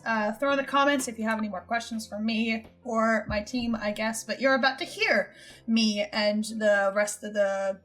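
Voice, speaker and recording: A woman hosts an informal Q&A with a high, bright voice. She chats in a bubbly, casual way over background music. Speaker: host and show creator. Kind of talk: casual Q&A chat.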